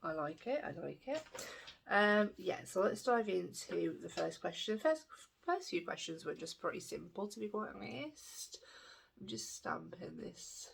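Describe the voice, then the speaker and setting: A woman's voice: mid-range voice, expressive, measured pace, vocal fry, breathy edge. A woman in her thirties, chatting frankly and at a rambling pace while doing her makeup for a vlog. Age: 30s. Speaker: makeup vlogger.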